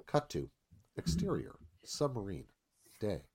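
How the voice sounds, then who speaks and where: A man's voice: lowish voice, huge pitch swings, measured pace, gravelly, a little mellow, breathy edge. A man narrating a screenplay dramatically in a live radio theatre reading.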